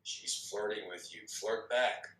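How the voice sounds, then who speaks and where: A man's voice: fairly low pitch, slow pace, sharp tone. An actor reading a sardonic, teasing male handler at a screenplay table read. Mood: dry, sardonic. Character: sardonic male handler coaching over an earpiece. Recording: distant mic, echoing room.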